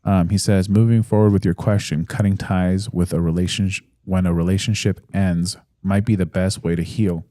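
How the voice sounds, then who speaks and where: A man in his thirties co-hosting a podcast in casual chat, reading listener comments aloud in a relaxed, hesitant way with fillers. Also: low-pitched, moderate pace, hardly any pauses, no room sound, studio quality. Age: 30s. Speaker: podcast co-host.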